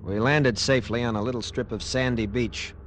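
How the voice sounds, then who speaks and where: A man's voice: mid-range voice, steady tone, brisk, over music, close to an everyday voice. An American man playing a wary military pilot lieutenant in slangy, clipped 1940s radio drama style, both in dialogue and narration. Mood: wry, ominous narration. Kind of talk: 1940s radio acting and first-person narration. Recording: noisy vintage radio drama recording.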